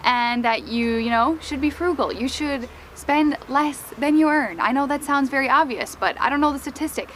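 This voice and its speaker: A woman's voice: high-pitched, moderate pace, few pauses, fairly bright tone, a little hiss or hum. Chatty young woman with Wisconsin accent. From United States, Wisconsin. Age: young adult. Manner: chatty, many "like" fillers. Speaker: vlogger. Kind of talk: casual Q and A chat to camera.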